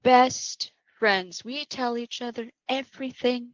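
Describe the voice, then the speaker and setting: A woman acting as a playful young patient with a fairly high voice. She needles people in a mock-sincere, sing-song way, as in a read-through of a drama. The sound is close and dry. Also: takes it slowly, no room sound, rough recording. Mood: playful teasing. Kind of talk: amateur screenplay table read.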